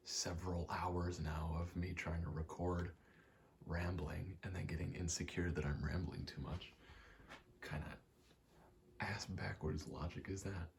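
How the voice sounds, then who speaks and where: Deep, gravelly soft-spoken man rambling. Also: slight background noise. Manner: hesitant, rambling, self-deprecating. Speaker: video game ASMR creator.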